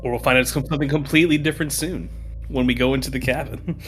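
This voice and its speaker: Dude playing a tabletop rpg, average pitch, casual talk, bit of music behind. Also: male voice, fairly even intonation, quick pace. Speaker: player in a tabletop game.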